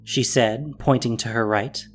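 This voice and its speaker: A narrator reading a scripted fantasy story with expressive, descriptive delivery. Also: mid-range voice, a little slow, soft background music.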